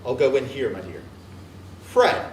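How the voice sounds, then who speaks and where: A man reading aloud and voicing an elderly reformed miser who is giddy and boisterous, with booming exclamations. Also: expressive, moderate pace, roomy sound, distant mic, slight background noise, close to an everyday voice, low-quality recording. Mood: gleeful. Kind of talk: dramatic reading of a classic tale.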